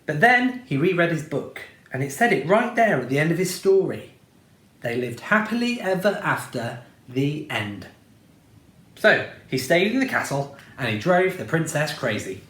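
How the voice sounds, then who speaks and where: Expressive man reading a storybook. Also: fairly high pitch, moderate pace, echoey room. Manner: expressive, playful. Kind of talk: reading a children's storybook aloud.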